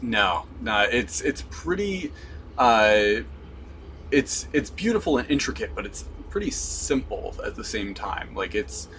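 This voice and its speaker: Slow, bright-voiced male game master. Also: lowish voice, big pitch swings, a little hiss or hum. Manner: slow, descriptive, many "um"s. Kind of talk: narrating a tabletop game.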